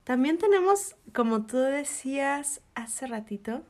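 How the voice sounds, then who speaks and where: A woman's voice: sits high, a little slow. A woman with a Mexican accent, a podcast co-host explaining in a casual, teacherly way. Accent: Mexican Spanish. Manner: animated, teacherly, laughs often.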